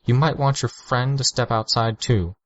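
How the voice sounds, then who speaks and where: A man's voice: mid-range voice, muffled recording, pitched above the performer's own voice, lightly acted. A man doing a formal female caseworker. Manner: polite, businesslike, measured. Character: measured middle-aged female caseworker, formal.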